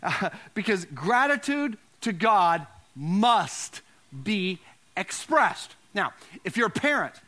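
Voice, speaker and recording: Male pastor, high-pitched voice, forceful bright tone, conversational sermon delivery, repeated key phrases, questions to the congregation. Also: sing-song, slow. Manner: repeats phrases for emphasis, asks listeners questions.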